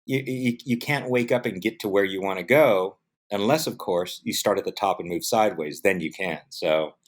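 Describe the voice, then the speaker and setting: A man's voice: lowish voice, normal speed. A middle-aged man with an American accent giving casual, anecdotal interview answers, animatedly acting out bits of dialogue. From San Diego, United States. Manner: animated storyteller, acts out quoted dialogue. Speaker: interview guest, film production professional.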